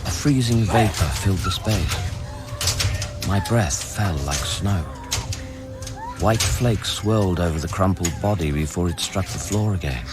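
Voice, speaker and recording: An actor playing a grave, loving man in anguish in a radio drama, speaking in a low, measured, intimate way. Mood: grave, haunted. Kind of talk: radio drama acting, first-person narration and dialogue. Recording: music under the voice. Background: music.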